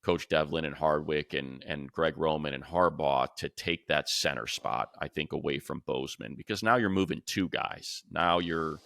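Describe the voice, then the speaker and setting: A man's voice: rumbling low, normal speed, vocal fry, hardly any pauses, a bit bright, no echo. A man hosting a sports talk show, casually analysing in an emphatic, conversational way and repeating points for stress.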